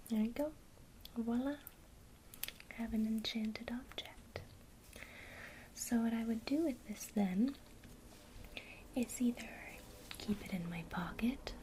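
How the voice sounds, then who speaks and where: A woman teaching a witchcraft lesson in a soft, gentle ASMR whisper. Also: fairly high pitch, a little hiss or hum, low-quality recording.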